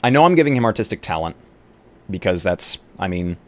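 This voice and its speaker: A man's voice: low-pitched, sing-song, fairly quick, no echo. A man chatting casually on a live roleplaying stream as he talks through building a game character.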